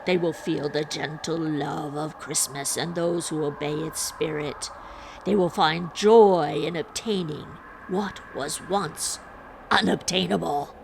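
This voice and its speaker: A woman's voice: low-pitched, fairly even intonation, vocal fry, background noise, heavily acted. Woman playing a guttural, menacing ghostly prophet. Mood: solemn. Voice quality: guttural, horrific. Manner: declamatory, drawn-out.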